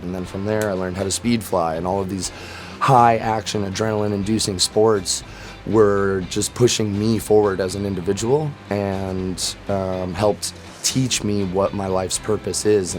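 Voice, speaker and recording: A man from Florida, a military veteran, telling his own story in an earnest, steady way. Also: deep voice. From Florida, USA. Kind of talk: personal testimonial.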